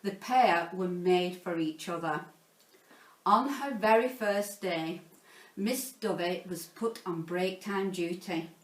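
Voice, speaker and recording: A woman reading a children's story aloud in an expressive, playful, theatrical way, like a teacher narrating to pupils. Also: fairly low pitch, slow pace. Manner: theatrical, playful, dramatizing sound words. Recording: roomy echo, distant from the mic.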